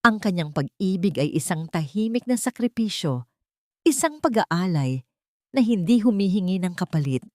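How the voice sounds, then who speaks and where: A woman's voice: fairly low pitch, big pitch swings, normal speed, no echo, studio quality. A woman storyteller reading scripted, dramatic narration of a romance drama.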